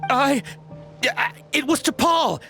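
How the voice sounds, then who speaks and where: High, bright, nervous young henchwoman. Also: lively intonation, slow pace, soft background music, heavily acted. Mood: frightened. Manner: stammering, flustered, pleading. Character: cowardly young female smuggler underling, nervous, apologetic. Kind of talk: video game voice acting.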